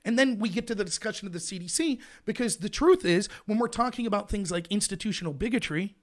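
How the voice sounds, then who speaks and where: A man hosting a live political show, ranting in a loud, indignant voice. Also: high-pitched, animated, quick pace. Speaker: livestream show host. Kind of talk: heated political commentary.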